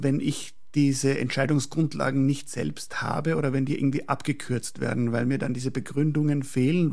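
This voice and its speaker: A man from Prague, speaking as an architect in a reflective interview, deliberate and searching for words. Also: mid-range voice, gravelly, darkish tone. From Prague, Czechoslovakia, later schooled in Austria.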